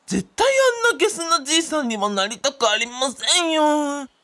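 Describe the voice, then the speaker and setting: A woman's voice: high-pitched, expressive, fairly quick, very noisy. A woman playing a fussy, vain, self-pitying young man with polite, quirky speech in a comic radio drama. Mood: fretful musing. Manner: polite, whiny, quirky sentence endings. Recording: music playing behind the voice.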